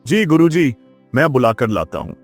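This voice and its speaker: A man's voice: mid-range voice, sing-song, normal speed, soft background music. A man voicing a poor, timid young student in a children's moral story, deferential and pleading. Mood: obedient.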